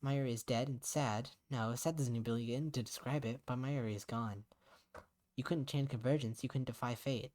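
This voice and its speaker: A man's voice: mid-range voice, steady tone, moderate pace, a little mellow, natural voice. A man voicing an earnest young woman in a visual novel, blunt but caring, questioning frankly and insistently. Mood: earnest, firm.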